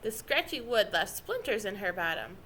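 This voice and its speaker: A woman reading a children's story aloud with expressive, dramatic narration. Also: medium pitch, normal speed, a little hiss or hum. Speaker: storybook reader.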